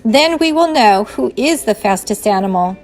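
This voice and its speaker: A modest, good-natured young tortoise in a storybook, read by a woman. He is calm and steady, with a fairly high voice over faint music. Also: moderate pace, close to an everyday voice. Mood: calm, determined.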